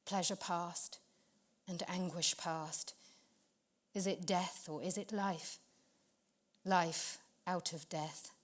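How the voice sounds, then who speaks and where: A woman performing a dramatic narrative poetry reading, expressive and measured, with deliberate pauses. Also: lowish voice.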